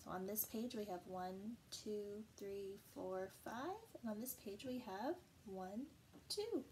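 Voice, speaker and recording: A young woman teacher reading a picture book aloud and counting slowly for young children in a small room, patient and sing-song. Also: mid-range voice, darkish tone, slight background noise, poor audio.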